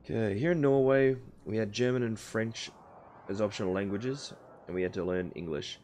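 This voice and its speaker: A man's voice: lowish voice, moderate pace, a little mellow. A young Australian man casually chatting with his live-stream viewers while playing a space game. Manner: chatty, answering chat viewers between game actions.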